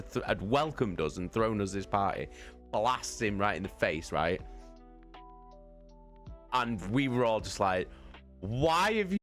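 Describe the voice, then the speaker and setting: A man's voice: lowish voice, fairly bright tone. A man on a live stream animatedly telling a tabletop game story, swearing often and laughing mid-sentence. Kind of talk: casual anecdote on a live stream.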